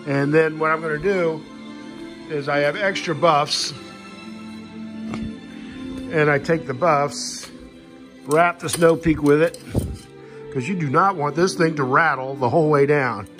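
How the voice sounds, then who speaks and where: A man's voice: fairly high pitch, fairly even intonation, a little slow, pauses often, music in the background. A man giving a casual, unscripted gear walkthrough in a folksy, matter-of-fact way, recorded indoors on a handheld phone. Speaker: hiker showing gear. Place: indoors in a home living room. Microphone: handheld phone camera, speaker behind it.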